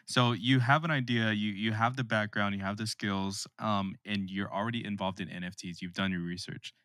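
A man's voice: on the low side, steady tone, normal speed, a bit bright, dry recording, clean studio sound. A man interviewing casually as a podcast host, agreeable and affirming toward his guest.

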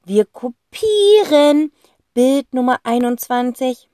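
A woman doing a loud, excited schoolboy. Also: high-pitched, takes it slowly, no echo, studio quality, voice pushed up. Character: eager, quick-witted schoolboy. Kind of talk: audiobook character voice.

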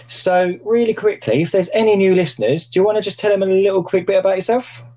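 A man hosting a podcast over a video call, chatty and joking with lots of fillers, his voice a little croaky. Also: sits high, fast, dark tone, muffled recording. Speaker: podcast host. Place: plant room.

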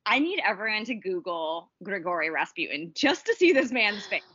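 A woman's voice: mid-range voice, big pitch swings, normal speed, a bit bright, husky, no room sound, highs cut off. A young woman co-hosting a podcast in casual chat, bubbly and giggly. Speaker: podcast co-host.

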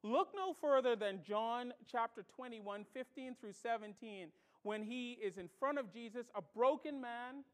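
A woman from the Bahamas delivers a sermon with a high, bright voice. She preaches emphatically and with lots of animation, leaning on rhetorical questions to drive each point home. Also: unhurried, hardly any pauses.

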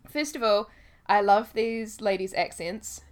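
A woman's voice: medium pitch, a little slow, smooth. A woman co-hosting a podcast in casual chat, laughing often and acting out what people say. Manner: giggly, acts out quoted voices. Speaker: podcast co-host.

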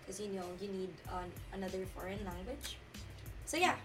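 A woman's voice: medium pitch, lively intonation, normal speed, far from the mic, over music. A nineteen-year-old woman from the Philippines, co-hosting a podcast in a peppy, giggly casual chat. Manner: peppy, giggly, upspeak-prone. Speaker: podcast co-host.

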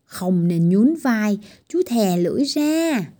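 A woman's voice: mid-range voice, sing-song, takes it slowly, dark tone. A woman voicing a strict, bossy tiny girl doctor in a children's storybook reading, giving firm scolding commands. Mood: stern.

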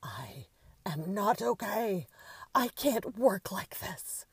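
A woman voicing an imperious, melodramatic woman artist, scolding loudly and theatrically in an audiobook story. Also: medium pitch, sing-song, slow pace, slightly rough, no room sound, voice pushed up, heavily acted. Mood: loud outrage.

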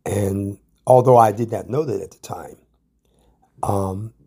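An American man telling his own life story as a guest storyteller, with a measured, halting delivery. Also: fairly low pitch, dark tone, husky. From Ann Arbor, Michigan, USA. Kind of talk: autobiographical storytelling.

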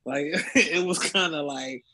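A man's voice: fairly high pitch, slow pace. A man chatting casually as a guest, with a slangy, giggly way of telling stories. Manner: giggly, slangy, animated storytelling. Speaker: voice actor guest. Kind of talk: casual chat.

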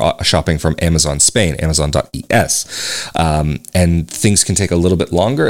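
Low-voiced American man chatting casually. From United States. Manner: conversational, rambling with restarts and asides. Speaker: expat vlogger. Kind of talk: casual talk to camera. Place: home in Portugal.

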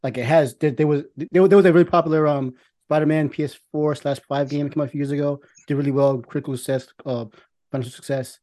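A man's voice: fairly high pitch, fairly even intonation, fast talker, dark tone. A man co-hosting a casual chat show, rambling and repeating himself, with some swearing. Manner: rambling, repetitive, profane. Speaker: podcast co-host.

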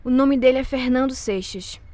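A woman playing a rich, shrewd young Brazilian lady in an audio drama, measured and commanding. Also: high-pitched, mellow, no echo, natural voice. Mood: cold, commanding. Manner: cold, measured, imperious. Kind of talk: audio drama acting of a period novel.

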